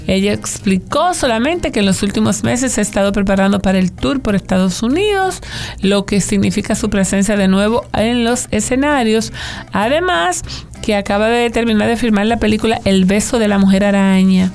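A woman's voice: medium pitch, lively intonation, darkish tone. A woman co-hosting an entertainment show, relating celebrity gossip with hesitations and wry asides. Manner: slow, hesitant, many "eh" fillers, wry asides.

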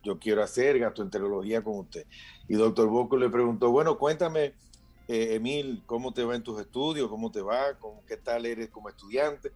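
A man's voice: medium pitch, moderate pace, a little mellow, slightly rough, faint music behind. A Dominican man in his fifties with a Dominican Spanish accent, hosting a casual chat and telling a family story, acting out the voices of the people in it. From Dominican Republic. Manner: storytelling, acts out quoted voices.

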